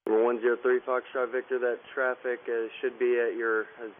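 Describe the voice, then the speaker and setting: A man's voice: mid-range voice, level tone, a little fast, phone-quality sound. A man working as an air traffic controller, speaking procedural phraseology over radio. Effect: radio transmission.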